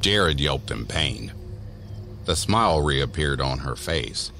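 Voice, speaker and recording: A man's voice: extremely low pitch, lively intonation, takes it slowly, gravelly, over music. A man narrating a scripted horror story as the storyteller of an audio drama.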